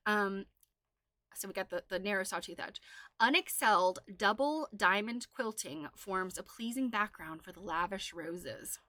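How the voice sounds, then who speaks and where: A woman's voice: mid-range voice, fairly bright tone, no room sound. A woman hosting a casual livestream, chatting and reading aloud in an enthusiastic, exclaiming way. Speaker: livestream host.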